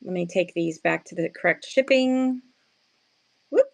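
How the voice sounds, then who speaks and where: A woman with a slightly hoarse, just-woken morning voice, chatting casually and playfully as she sells handmade crafts on a livestream, often addressing viewers and poking fun at herself. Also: lowish voice, animated, measured pace. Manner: self-deprecating, playful slangy wording. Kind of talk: casual live sales chat with viewers.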